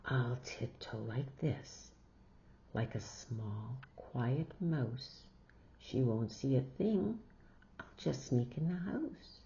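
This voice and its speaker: A playful, easily worried young girl from a storybook, acted out by a woman reading aloud. Her pitch moves around in a lively way, and the recording sounds slightly dull. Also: slow pace, vocal fry, slight background noise, heavily acted. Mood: sneaky. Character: young girl, playful, worried and defensive about losing things. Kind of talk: storybook read-aloud character voice.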